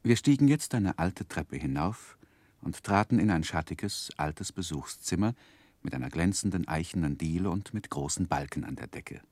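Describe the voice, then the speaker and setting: Low, expressive gentleman narrator. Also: moderate pace, dry recording. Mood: reflective, tender. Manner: reflective, carefully phrased, expressive. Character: earnest gentleman recounting his boyhood, tender and reflective. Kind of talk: literary first-person narration in 1960s German radio drama.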